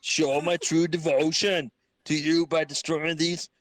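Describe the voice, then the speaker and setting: Man doing a high-pitched henchman. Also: flat delivery, measured pace, no echo, natural voice. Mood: eager, devoted.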